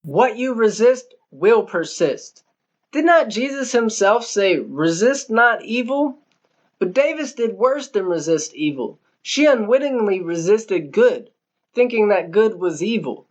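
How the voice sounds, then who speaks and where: A man's voice: sits high, expressive, unhurried, darkish tone. A man narrating a novel as an audiobook, reading from a script.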